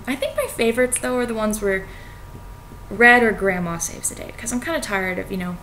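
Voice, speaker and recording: A young American woman speaks in a medium-pitched voice with a casual, wry manner. She sounds like a vlogger talking to her computer at home. A light layer of noise and rumble sits under the voice. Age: young adult. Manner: informal, wry, hedging with "like" and "you know". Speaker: vlogger reading and analysing a fairy tale. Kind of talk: casual spoken commentary to camera. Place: small home kitchen, indoors. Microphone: computer webcam at arm's length. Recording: noisy home webcam recording, low rumble.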